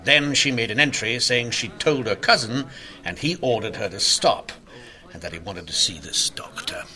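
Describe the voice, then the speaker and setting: An actor playing a stern, driven Victorian inspector in a radio mystery, with emphatic, intense delivery. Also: male voice, medium pitch, normal speed, pushed and bright. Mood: urgent explaining. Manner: emphatic, intense, clipped declarations. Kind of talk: old-time radio mystery acting.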